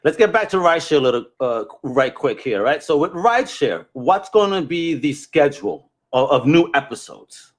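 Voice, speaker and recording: Guy with a slightly high voice hosting a podcast, slangy and informal, just bantering. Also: big pitch swings, normal speed. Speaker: podcast host. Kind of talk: casual podcast chat.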